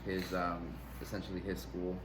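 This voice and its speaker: A man's voice: sits low, completely flat delivery, moderate pace. A young man, a wrestling coach, answering interview questions casually, rambling with fillers and restarts. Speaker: grappling athlete and wrestling coach. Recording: echoey room with background noise.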